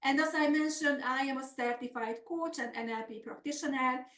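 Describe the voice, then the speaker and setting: A woman's voice: high-pitched, brisk, a bit bright, reverberant. Hungarian-accented woman presenting a business webinar. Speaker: business coach hosting a webinar. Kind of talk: semi-scripted webinar presentation.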